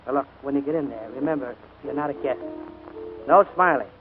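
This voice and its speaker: A man's voice: mid-range voice, a little fast, over music, natural voice. A man playing a harried, bossy, wisecracking butler in a 1940s radio comedy. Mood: bossy, impatient. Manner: brusque, wisecracking, slangy. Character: gruff, harried male butler, bossy and exasperated older brother. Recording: scratchy, narrow-band 1940s radio broadcast.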